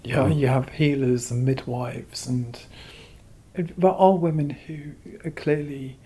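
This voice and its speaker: A man's voice: mid-range voice, fairly even intonation, slow pace, mellow, slightly rough. A man speaking as a curator in an interview, giving long, discursive explanations. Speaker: exhibition curator, interview guest.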